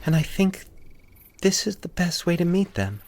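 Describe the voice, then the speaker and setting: A man voicing a small, lonely, shy and eerie woman in a horror story, speaking softly and hesitantly. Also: high-pitched, big pitch swings, normal speed, pitched above the performer's own voice. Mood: shy, wistful. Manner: soft, hesitant, diffident.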